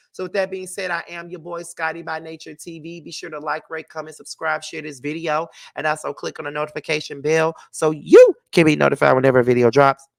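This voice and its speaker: A man's voice: a little high, creaky. A congested man giving casual, blunt and sassy gossip commentary as a vlog host, with emphatic, profane delivery. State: congested with a cold. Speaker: entertainment gossip vlogger and host. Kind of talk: casual opinionated reality TV commentary.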